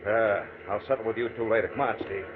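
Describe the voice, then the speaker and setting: An actor playing a bullying gangster boss in 1940s radio style, brash, commanding and full of slang. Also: male voice, lowish voice, fairly even intonation, fast talker, natural voice. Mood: menacing. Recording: noisy, tinny 1940s radio recording.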